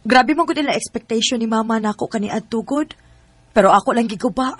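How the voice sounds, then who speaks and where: A woman's voice: on the high side, fast, a bit bright, no echo. A woman with a Cebuano accent playing a hurt but devoted young single mother in a radio drama, emotive and pleading. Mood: weary, frank. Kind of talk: Bisaya radio drama acting.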